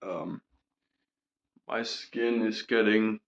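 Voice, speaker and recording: A man's voice: on the low side, steady tone, extremely slow, muffled recording. A young man rambling casually in a diary vlog, full of fillers and casual swearing, his voice still recovering from a sore throat. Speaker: vlogger.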